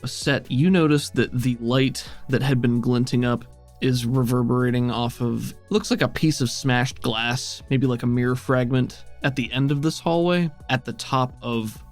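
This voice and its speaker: Man, game master, middle-pitched voice, measured descriptive narration, faint music behind. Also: steady tone.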